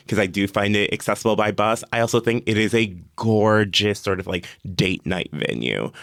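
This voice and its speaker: Male panelist, American, low resonant voice, casual and opinionated, dry close recording. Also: fairly bright tone, clear, resonant tone, no echo. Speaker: podcast panelist.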